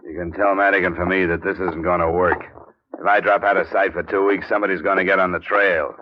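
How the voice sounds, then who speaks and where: An actor playing a wry, unflappable hard-boiled private investigator with a dry manner in an old radio drama. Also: male voice, low-pitched, level tone, quick pace, noisy background, natural voice. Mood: cool, defiant. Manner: dry, sardonic. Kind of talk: 1940s-50s radio detective acting and narration. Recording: muffled old radio recording with music.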